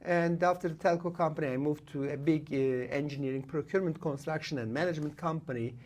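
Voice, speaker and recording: A man in his late forties from Turkey, whose first language is Kurdish, talks as a guest in a casual podcast interview in a studio. He speaks in short bursts with frequent "uh" pauses and restarts. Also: on the high side, a little mellow. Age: late 40s.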